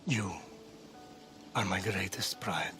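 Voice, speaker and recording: A man's voice: deep voice, fairly even intonation, takes it slowly, over music. An actor playing a stern, proud warrior father in a video game, grave and commanding. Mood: solemn, proud. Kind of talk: video game cutscene acting.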